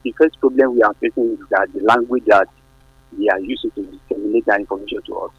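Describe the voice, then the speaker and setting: A Nigerian man with a Yoruba accent, whose first language is Yoruba, calling a radio show to share his opinion earnestly, his voice jumping up in pitch when he stresses a point. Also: steady tone, fast, slightly rough, no room sound, dull audio. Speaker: radio caller. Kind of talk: phone-in opinion. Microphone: phone line.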